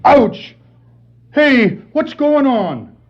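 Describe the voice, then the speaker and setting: An actor playing an agitated, earnest police officer with a husky voice in a 1960s children's record drama. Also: male voice, very high voice, sing-song, drawn-out words, exaggerated voice. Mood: startled. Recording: muffled 1960s vinyl record.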